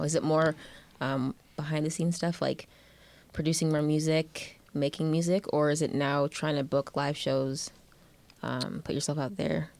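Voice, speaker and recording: Woman podcast host, slightly low pitch, encouraging, affirming interviewer. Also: a little mellow. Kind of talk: casual interview chat.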